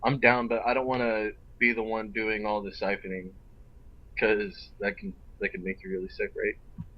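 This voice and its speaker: Male gamer, low and monotone, casual chat, dull lo-fi microphone. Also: moderate pace. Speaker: role-play game player.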